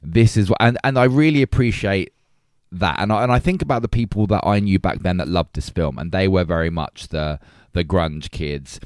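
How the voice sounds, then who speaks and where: A man around forty co-hosting a casual film discussion, opinionated and animated in his delivery. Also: low-pitched, moderate pace, smooth, no echo, clean studio sound. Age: 30s or early 40s. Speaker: podcast co-host.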